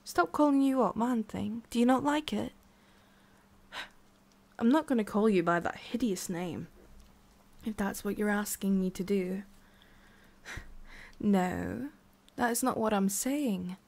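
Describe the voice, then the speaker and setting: An imperious tsundere witch, centuries old and disdainful of humans, performed by a woman. She speaks slowly at a middling female pitch, addressing the listener directly in an ASMR roleplay. Her manner is condescending and scolding, with theatrical pauses. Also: gravelly, a little mellow. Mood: exasperated.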